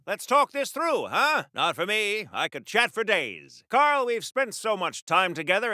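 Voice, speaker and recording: A man's voice: high-pitched, bright, forceful tone, no room sound, studio quality, heavily acted. A man playing a pompous, wholesome golden age comic book superhero in a video game, with wordy, old-fashioned genteel diction in the style of a 1940s radio serial. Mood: eager, chatty.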